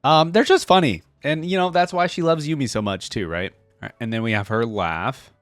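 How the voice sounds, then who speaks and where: A man's voice: medium pitch, moderate pace, no echo, clean studio sound, faint music behind. A man hosting a reaction video, giving casual, chatty, easily amused commentary on game voice lines.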